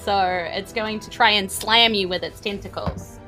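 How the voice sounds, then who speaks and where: A woman's voice: medium pitch, steady tone, normal speed, pushed and bright, soft background music. A woman with a New Zealand accent, casually narrating a tabletop game as game master in a playful, teasing way.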